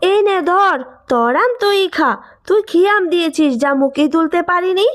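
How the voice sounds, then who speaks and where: A woman's voice: very high voice, moderate pace. A woman voicing a furious, scolding village customer in a cartoon melodrama, full of threats.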